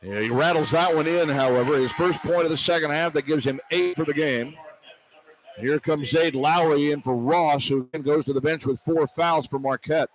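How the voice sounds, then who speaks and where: A man calling live basketball play-by-play on the radio, excitable and partisan, in clipped running descriptions. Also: a little high, moderate pace, low-quality recording. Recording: arena noise behind broadcast commentary. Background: arena crowd ambience.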